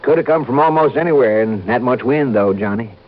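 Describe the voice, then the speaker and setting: An actor playing an obliging, talkative fishing resort manager in an old American radio drama, explaining things in a folksy, hesitant way. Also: male voice, medium pitch, brisk, lightly acted. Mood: sober recounting. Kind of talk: mid-century American radio drama acting. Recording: muffled, faintly noisy vintage radio recording.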